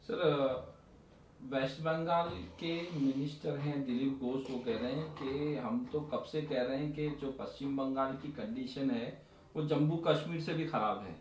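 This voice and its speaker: An Indian male reporter puts questions in a news interview. His mid-pitched voice sounds echoey and away from the microphone, with faint noise around it. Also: unhurried, darkish tone, far from the mic.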